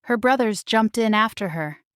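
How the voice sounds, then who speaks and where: A woman's voice: fairly high pitch, lively intonation, normal speed, fairly bright tone, smooth, no echo, studio quality. A woman narrating a children's bedtime story from a script as a storybook reader.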